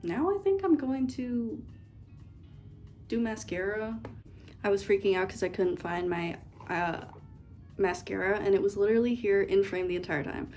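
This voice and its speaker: A woman's voice: medium pitch, animated, normal speed, a little mellow, soft background music. A young woman in a dorm room, rambling playfully as she does her makeup for a get-ready-with-me video. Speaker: beauty vlogger. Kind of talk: casual get-ready-with-me chat.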